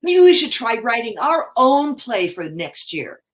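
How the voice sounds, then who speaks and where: Actress doing cheery peacemaker lady, highish animated voice, stage comedy reading. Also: a little slow, slight background noise. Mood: excited. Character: cheerful, peacemaking woman in amateur theater group. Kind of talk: comic staged play reading.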